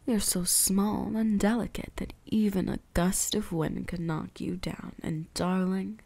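A breathy, husky whisper with a creaky edge, dark and soft. It is a possessive vampire huntress played by a woman in an ASMR roleplay, slowly teasing and commanding her prey with quiet menace. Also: mid-range voice, fairly even intonation, no echo. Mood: hypnotic, commanding.

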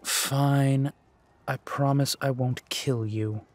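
A man playing a vengeful, ruthless villain in an audio drama, with a husky voice and a deliberate, menacing, mocking delivery. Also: mid-range voice, steady tone, natural voice. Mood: cool menace.

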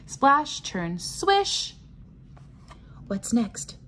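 A woman reading a picture book aloud to children and explaining it simply, in a sing-song, teacherly way with clear enunciation. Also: on the high side, big pitch swings, very slow, a bit bright, a little hiss or hum. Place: indoors, at a table.

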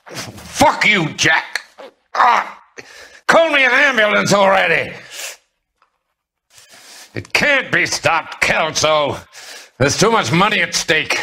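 A man's voice: sits high, big pitch swings, takes it slowly, vocal fry, long pauses, pushed and bright, heavily acted. An actor playing a wounded, foul-mouthed crooked businessman in a video game, snarling and pleading in agony. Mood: agonized rage. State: in agony, crying out. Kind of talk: dramatic video game acting.

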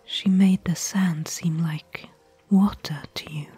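A woman playing a caring, worried fantasy expedition companion in a soft, breathy, intimate ASMR whisper. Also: fairly low pitch, steady tone, faint music behind, full character voice. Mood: curious, pondering. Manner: intimate, gentle, slow and hushed. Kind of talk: soft-spoken ASMR roleplay.

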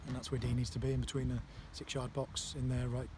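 Halting football manager, flat tone. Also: male voice, mid-range voice. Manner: halting, many fillers and restarts. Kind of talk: post-match interview. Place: outdoors on a floodlit football pitch at night. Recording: outdoor noise behind voice.

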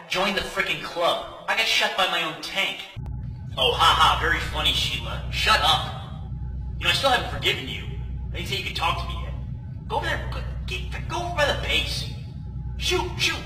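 A man's voice: on the high side, pushed and bright, a little hiss or hum, rough recording. A man voicing an irritable, sarcastic young soldier who has become a ghost, in conversational, exasperated cartoon comedy dialogue. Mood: deadpan, sly, then irritated, bossy.

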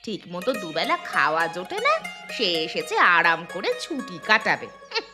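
A woman's voice: fairly low pitch, huge pitch swings, normal speed, music in the background. A woman voicing a careworn poor village mother with an earthy, nagging manner in a fairy-tale cartoon. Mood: scolding. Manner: nagging, earthy, sharp-tongued.

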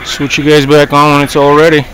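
A man's voice: a little high, monotone, brisk, a bit bright. A man in his thirties casually narrating as he cooks fish over a campfire by a river, chatting to his viewers while he works. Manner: easygoing, talks to viewers while working. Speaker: outdoor vlogger. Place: outdoors by a riverbank. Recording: noisy outdoor camera audio. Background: outdoor ambience, frogs.

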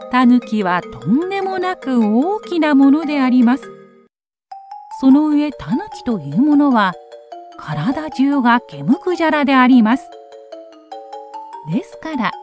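Woman storyteller, lively intonation, unhurried, children's tale reading, faint music behind. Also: high-pitched. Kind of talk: expressive children's story narration.

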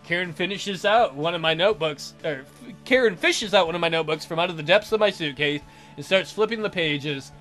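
Man reading a game aloud over soft music. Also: a little high, brisk. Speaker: let's player. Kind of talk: reading visual novel narration aloud, with joking asides.

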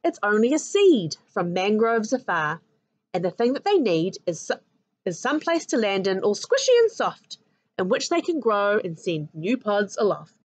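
A woman's voice: mid-range voice, sing-song, moderate pace, no echo. Woman as a reassuring storybook mum, lilting. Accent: New Zealand. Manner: soothing, explanatory, rhyming. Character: calm, reassuring mother explaining sea life.

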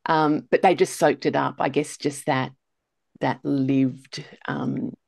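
A middle-aged Australian woman hosts a podcast conversation over a video call. Her voice sits low and a bit gravelly, with a dry, close sound. She chats easily and asks encouraging questions. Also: steady tone, slow pace, a little mellow, no echo. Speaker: podcast host. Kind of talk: casual interview chat. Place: home room, video call.

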